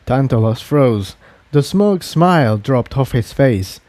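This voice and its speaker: A man's voice: medium pitch, animated, slow pace, darkish tone, dry recording. A man reading an adventure story aloud as a scripted dramatic narration. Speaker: fan fiction reader and narrator.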